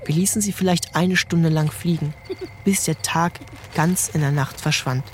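A man narrating a literary story in a calm, measured way. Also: fairly high pitch, slight background noise. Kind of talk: scripted narration.

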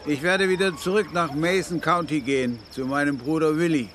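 A man's voice: a little high, fairly even intonation, fairly quick, a bit bright, slight background noise, close to an everyday voice. An actor playing a weary, plain-spoken frontiersman in a radio drama, terse in his delivery.

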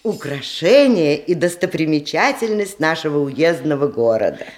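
An actress playing a genteel provincial widow in a radio play, narrating in a chatty, ornate, old-fashioned way. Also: female voice, sits low, big pitch swings, normal speed, a little mellow. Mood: wry, confiding. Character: genteel provincial widow hostess of nineteenth-century Russia.

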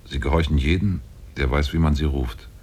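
An actor playing a powerful, lovestruck and possessive demon in a 1950s German radio play, speaking solemnly. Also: male voice, fairly quick, darkish tone. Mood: boastful, solemn. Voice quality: very deep, husky.

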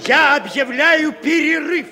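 An actor playing a stern, commanding judge who speaks in an official, emphatic way in an old radio drama. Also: male voice, very high-pitched, measured pace, vocal fry, music in the background, exaggerated voice. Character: stern, authoritative male judge presiding over a trial. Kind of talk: Soviet radio drama acting. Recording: 1960s radio, echoing hall, crowd behind.

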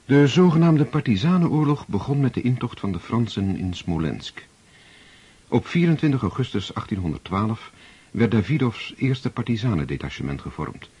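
A man playing the solemn narrator of a war tale in a radio drama, measured and literary. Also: medium pitch, big pitch swings, darkish tone, breathy edge, dry recording, lo-fi sound.

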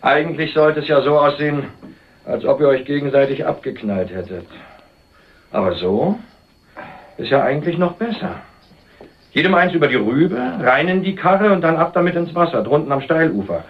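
Gloating young murderous heir for radio thriller, male actor: higher-set voice, very animated, mocking, muffled recording. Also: normal speed, lightly acted. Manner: mocking, sneering, laughs scornfully. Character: gloating murderous young heir, scornful, cold-blooded villain. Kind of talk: German radio crime drama acting.

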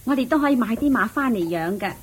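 A woman as a high-voiced, coaxing Cantonese queen. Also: animated, fast talker, a little hiss or hum, natural voice. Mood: persuasive. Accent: Hong Kong Cantonese. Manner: coaxing, explaining patiently. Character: gentle, proud, persuasive young queen consort. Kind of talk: radio drama acting.

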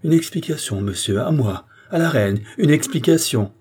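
Man voicing an anguished, imperious queen, husky and heightened. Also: medium pitch, normal speed, dark tone, pitched above the performer's own voice, natural voice. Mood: imperious anger. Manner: breathless, imperious, emphatic. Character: proud, jealous, anguished queen, imperious and feverish. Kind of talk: dramatic audiobook character acting.

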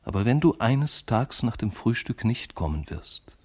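An actor playing a lovesick, brooding man of letters in a literary radio monologue, speaking lyrically and intimately in measured phrases. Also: mid-range voice, big pitch swings, a little mellow, breathy edge. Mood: anguished, fervent.

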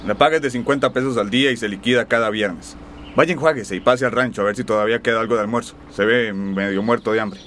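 An actor playing a gruff, stern farm foreman in a radio drama, curt and authoritative. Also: on the low side, fast, a bit bright, a little hiss or hum, lightly acted. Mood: gruff, warning.

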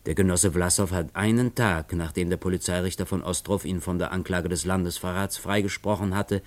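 Man doing a low-voiced cold revolutionary boss, measured and bossy, radio play. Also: steady tone, natural voice. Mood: commanding. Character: cold male revolutionary leader, commanding.

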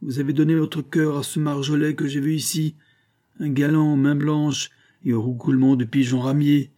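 A man's voice: fairly low pitch, moderate pace, dark tone, close to an everyday voice. A man reading an audiobook, voicing a drunken, bitter glassmaker husband who bullies and wheedles, emphatic and sardonic. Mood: sneering, jealous.

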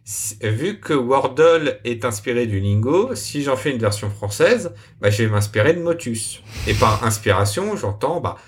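A young adult man, a software developer, explaining his project in a casual interview, hesitating often with many fillers and restarts. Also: medium pitch, expressive, moderate pace, few pauses. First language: French.

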